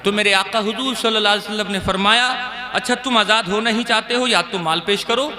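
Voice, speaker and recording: Male preacher, high and fervent, sermon to a gathering, crowd noise in an echoing hall. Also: quick pace. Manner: rising to impassioned shouts, addresses crowd. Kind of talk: Islamic sermon. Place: large gathering.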